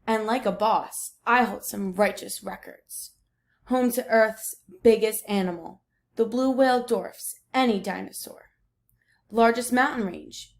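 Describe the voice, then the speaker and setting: A woman voicing the ocean as a laid-back, boastful surfer dude in a picture book read aloud. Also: fairly high pitch. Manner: slangy, breezy surfer talk.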